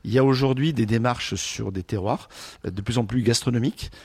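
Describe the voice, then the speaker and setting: A man's voice: medium pitch, fairly quick, a little mellow, slightly rough, no echo. A man commenting as a sommelier on a radio discussion, thoughtful with many stammered restarts.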